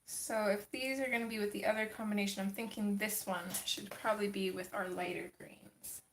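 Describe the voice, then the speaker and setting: A woman hosting a casual livestream, chatting with viewers as she colors, her voice a little gravelly from just waking up. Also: mid-range voice, fairly even intonation, fairly quick, echoey room, slight background noise, rough recording. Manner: chatty, reads and answers chat comments. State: slightly gravelly morning voice. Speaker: livestream host.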